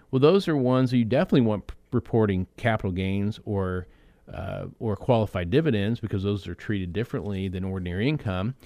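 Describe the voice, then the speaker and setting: A man hosting a financial advice radio show, explaining in a conversational way with quick asides and restarts. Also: mid-range voice, dry recording.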